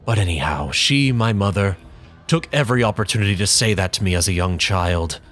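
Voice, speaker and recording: A man performing a bitter young man who looks back on his mother with contempt, reading in a measured, brooding way with dramatic pauses. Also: sits low, fairly bright tone. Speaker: narrator in character.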